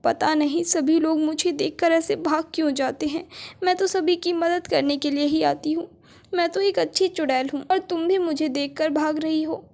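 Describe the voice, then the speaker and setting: A woman voicing a kind but vengeful witch in a storybook, calm and firm. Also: sits high, moderate pace. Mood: reassuring.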